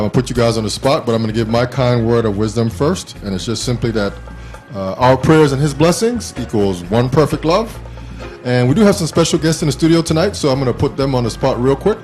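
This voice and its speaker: A man in his fifties casually hosting an internet talk show from a small studio, with a halting, informal delivery. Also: medium pitch, a little mellow. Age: 50s.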